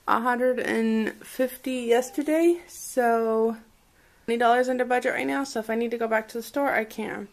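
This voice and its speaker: A woman vlogger talking casually indoors at home in a rambling, think-aloud way, recorded on a handheld camera. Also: fairly high pitch. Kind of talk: casual chat, showing a grocery haul. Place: indoors at a home dining table. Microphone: handheld camera microphone. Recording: noisy handheld camera audio with clicks.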